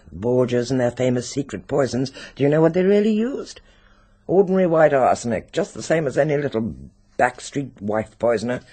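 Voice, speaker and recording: A British actor playing a sinister, secretive occult expert in a radio drama, speaking deliberately and insinuatingly. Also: male voice, mid-range voice, a little mellow, husky.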